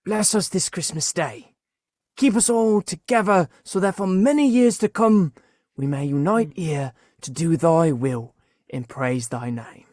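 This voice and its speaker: An actor playing a gentle, devout and loving parent of a poor family in a theatrical radio play. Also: medium pitch, unhurried, dry recording, studio quality, close to an everyday voice. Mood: reverent.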